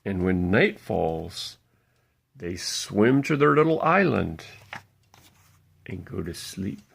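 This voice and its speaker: A man reading a picture book aloud to young children, playful and expressive, pausing to point things out. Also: low voice, gravelly, breathy edge. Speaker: parent reading a bedtime story aloud.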